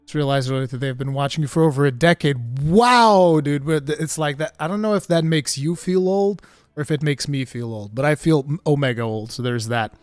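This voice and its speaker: A man's voice: on the high side, brisk, no room sound. A Portuguese man with an American-sounding English accent, chatting casually as a streamer and reading viewer questions. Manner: excitable, laughs often, says dude a lot.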